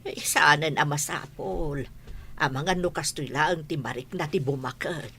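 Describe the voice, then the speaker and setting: A woman's voice: low voice, normal speed, pushed and bright, slight background noise. A woman playing a plaintive, ailing elderly wife and mother in an Ilocano radio comedy drama. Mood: weary, plaintive.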